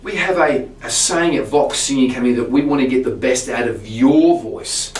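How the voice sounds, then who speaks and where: A man, a singing teacher, explaining vocal technique to camera in a relaxed way, often restarting his words. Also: mid-range voice, moderate pace. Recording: roomy sound from a distance.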